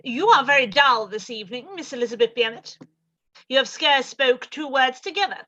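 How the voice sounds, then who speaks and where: Brief: imperious aristocratic dowager, played by a woman, condescending, crisp and forceful, lo-fi mic. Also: high voice, animated, heavily acted. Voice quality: haughty, condescending, drawn-out. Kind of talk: live-read radio play.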